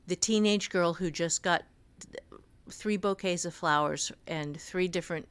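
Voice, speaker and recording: A middle-aged woman co-hosting a podcast, teaching writing in an informal, explanatory way. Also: fairly low pitch. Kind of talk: casual instructional discussion.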